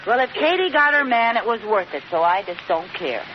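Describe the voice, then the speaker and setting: An actress playing a teasing, scheming, wisecracking housewife in an old radio situation comedy. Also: female voice, fairly high pitch, sing-song, brisk. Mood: breezy. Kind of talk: 1940s-50s radio situation comedy acting. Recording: dull noisy old radio, loud audience.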